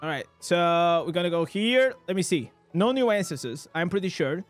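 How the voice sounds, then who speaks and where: A man with a high voice for a man streams a game live, narrating his play in English. He bursts into excited reactions over loot and chats casually with his viewers. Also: normal speed, soft background music. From Portugal. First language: Portuguese. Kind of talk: live gameplay commentary.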